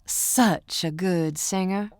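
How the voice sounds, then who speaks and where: A woman's voice: fairly low pitch, takes it slowly, a little mellow, no room sound, clean studio sound. A woman voicing a playful, gushing country fangirl with a Southern US accent in an audiobook.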